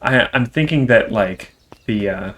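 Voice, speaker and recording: A man's voice: lowish voice, lively intonation, measured pace, fairly bright tone. A man hosting a game playthrough in casual, conversational chat. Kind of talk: casual let's play chat, reading game dialogue aloud.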